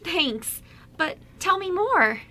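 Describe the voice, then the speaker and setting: Radio drama role: supportive young female best friend, acted by a woman, high bright voice, sweet and encouraging. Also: big pitch swings, slow pace, full character voice. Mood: excited, teasing. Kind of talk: amateur radio drama script reading.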